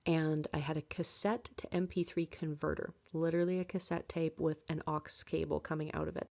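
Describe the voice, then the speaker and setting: A woman's voice: sits low, little pitch movement, fairly quick, darkish tone. A woman in her late twenties hosting a podcast from home, talking candidly about her life with many fillers and light laughs. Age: late 20s. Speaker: podcast host. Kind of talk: personal reflective monologue.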